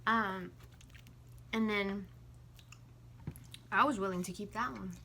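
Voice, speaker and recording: A woman's voice: mid-range voice, takes it slowly, vocal fry, pushed and bright, a little hiss or hum. A woman co-hosting a mukbang vlog, chatting informally and teasingly while she eats. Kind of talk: casual chat while eating.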